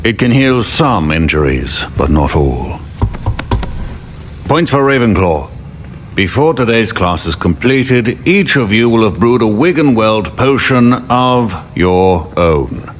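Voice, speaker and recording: A man voicing a stern, exacting British potions professor in a video game, measured, formal and dry. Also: fairly low pitch, background noise. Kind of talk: video game character acting.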